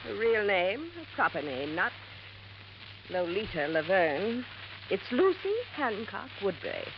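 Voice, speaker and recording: A woman playing a haughty, sharp-tongued, impatient character with a scornful, imperious manner in 1950s radio drama style. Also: medium pitch, very animated, measured pace. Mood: bitter. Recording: muffled old radio with light hiss.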